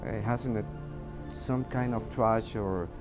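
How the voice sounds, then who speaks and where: A Mexican man with a fairly low voice gives interview testimony in a slow, halting way. His native Spanish shapes his grammar, and he often stops to search for words. Music plays clearly behind him. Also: fairly even intonation. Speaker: air traffic controller giving testimony. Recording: music under the voice.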